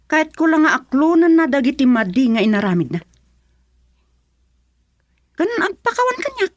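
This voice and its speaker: A woman's voice: high-pitched, sing-song, moderate pace, no room sound, clean studio sound. A woman playing a stubborn, offended villager who gives huffy, defiant retorts in a comedic radio drama. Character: stubborn offended woman refusing to make peace.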